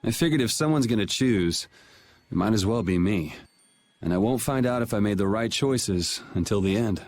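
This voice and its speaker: Cool, low-voiced young man. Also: lively intonation, normal speed, darkish tone, slight background noise. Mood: matter-of-fact. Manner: dry, casual, matter-of-fact. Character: cool, blunt, self-assured young man. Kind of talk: video game dub acting.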